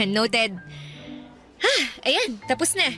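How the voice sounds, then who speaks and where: A shy young new hire with a bright, higher voice who giggles politely. Clear music runs beneath her lines in this radio drama. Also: big pitch swings, very slow, exaggerated voice. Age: 20s. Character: shy young woman, new office employee. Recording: music bed under voice.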